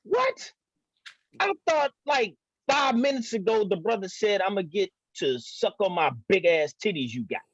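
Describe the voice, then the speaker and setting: A man's voice: sits high, very animated, measured pace, a bit bright, no echo, exaggerated voice. An actor at a table read playing a young man hustling his grandfather for money, wheedling and indignant. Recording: dull, dry lo-fi audio.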